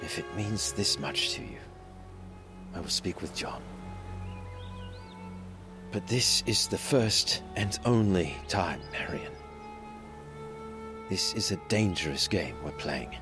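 A man playing a conflicted, cautious and wry nobleman in an audio drama, with measured courtly phrasing and a defensive edge. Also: sits low, lively intonation, music in the background. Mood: reluctant.

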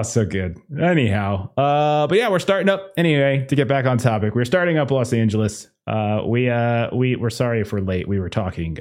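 A man opens a tabletop roleplaying session as host and game master. He rambles casually, stumbling over fillers and restarts, at an ordinary middle pitch. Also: darkish tone. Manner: rambling, many fillers, laughs at own jokes. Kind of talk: casual chat opening a tabletop game stream.

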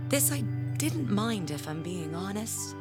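An actress playing a proud, aggrieved, self-important middle-aged church bell ringer in an audio drama, speaking in a theatrical, haughty, deliberate way. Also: female voice, medium pitch, very animated, loud music behind the voice. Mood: aggrieved, indignant.

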